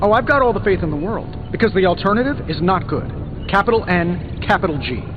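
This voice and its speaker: A man's voice: high voice, lively intonation, brisk. A man playing a cocky, impatient inventor superhero in a video game, with a glib, sarcastic way of talking. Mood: wry. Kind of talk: scripted video game acting. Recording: slightly dull band, clear music behind.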